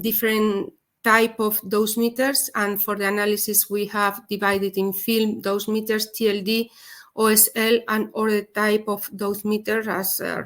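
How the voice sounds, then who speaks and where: A woman with a Spanish accent giving a technical webinar presentation, speaking haltingly with frequent pauses. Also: medium pitch. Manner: hesitant, halting non-native delivery with frequent pauses. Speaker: presenter, head of a dosimetry service.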